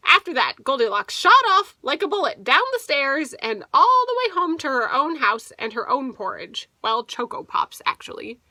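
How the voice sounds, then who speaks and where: A woman with a high, bright voice reads a children's picture book aloud as a teacher would. Her delivery swings expressively up and down, and she drops in explanatory asides for young listeners. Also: sing-song, normal speed, hardly any pauses, dry recording. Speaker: teacher reading to a young class.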